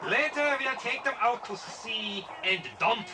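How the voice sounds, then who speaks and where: A man's voice: extremely high pitch, unhurried. A man playing a ruthless, smug gun smuggler in a 1980s audio drama. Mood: smug, menacing. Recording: distant voice on old tape, loud music.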